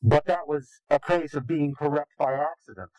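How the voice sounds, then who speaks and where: A man's voice: fairly high pitch, moderate pace, darkish tone, no room sound, poor audio. A man being interviewed, speaking in a contemptuous, indignant way. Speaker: anonymous interviewee. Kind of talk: recorded interview.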